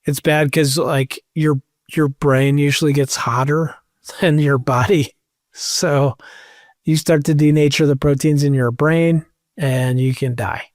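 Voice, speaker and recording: A man's voice: a little high, steady tone, unhurried, darkish tone, no echo, clean studio sound. A man in his thirties, a podcast co-host, joking and explaining things offhand in casual chat.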